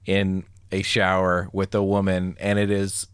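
A man's voice: sits low, monotone, unhurried, clear, resonant tone, dry recording, studio quality. A man co-hosting a casual, comedic movie chat, jokey and often laughing as he talks and acts out mock quotes. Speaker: movie podcast co-host.

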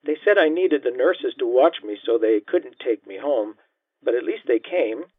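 A man's voice: medium pitch, lively intonation, moderate pace, no room sound, phone-quality sound, natural voice. A man voicing a lonely elderly woman in a nursing home, her voice quavering and tearful. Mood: sad, tearful.

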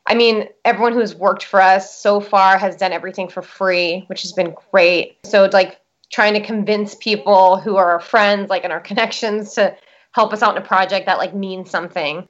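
Young American woman, documentary producer being interviewed; chatty, filler-heavy delivery, mid-pitched, slightly dull recording. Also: steady tone, normal speed. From United States. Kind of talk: interview answers. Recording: dull lo-fi sound.

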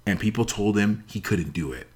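A man with a fairly low voice delivers a motivational talk. He speaks emphatically, circling back to key phrases and repeating them to press them on the listener. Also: moderate pace, a little mellow.

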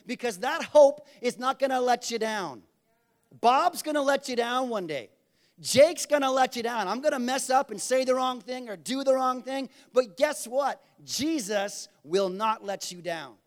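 Male pastor, high voice, emphatic and repetitive sermon delivery. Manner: emphatic, repeats key lines for stress; swings between loud bursts and quiet asides.

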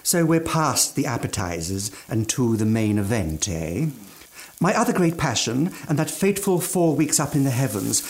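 An actor playing a genial, boastful British chef in the style of an old radio play, with effusive, gentlemanly phrasing. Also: male voice, medium pitch, very animated, normal speed. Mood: jovial boasting. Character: genial, boastful gourmand chef, former mountaineer, now legless.

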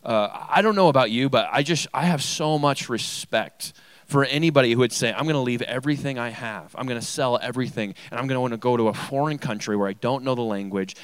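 A male pastor giving an informal church introduction, speaking earnestly and haltingly and repeating phrases. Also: mid-range voice, clear, resonant tone, no room sound.

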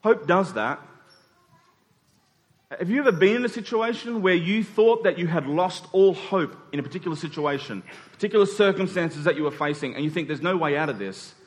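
A man's voice: sits high, brisk. A man preaching a conversational sermon, repeating key phrases for emphasis and checking in with his listeners through short rhetorical questions. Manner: rhetorical check-ins with the congregation.

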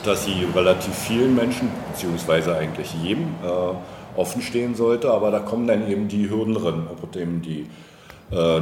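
Sehr tiefe Männerstimme in halligem Raum. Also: male voice, low-pitched, darkish tone. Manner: hesitant, long winding explanatory sentences. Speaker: local politician being interviewed. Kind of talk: conversational political interview. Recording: roomy echo, faint background noise.

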